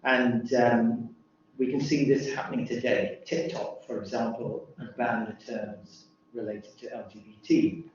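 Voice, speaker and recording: A man, a lecturer, asks a question from the audience, halting and correcting himself. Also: mid-range voice, fairly even intonation, slight background noise. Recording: distant, echoey, dull hall audio.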